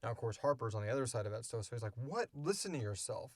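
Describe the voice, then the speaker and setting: A man's voice: mid-range voice, expressive, fast talker, no room sound, clean studio sound. A man hosting a podcast, answering questions in casual, unscripted talk from a small booth. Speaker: podcast host and creator. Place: small enclosed recording booth.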